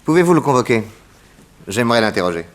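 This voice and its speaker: An actor playing a shrewd, courteous detective who questions people with theatrical confidence, in a 1960s radio serial. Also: male voice, medium pitch, sing-song, fast talker, lightly acted. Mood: probing, polite. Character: shrewd, probing, confident American male detective. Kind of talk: 1960s French radio drama acting.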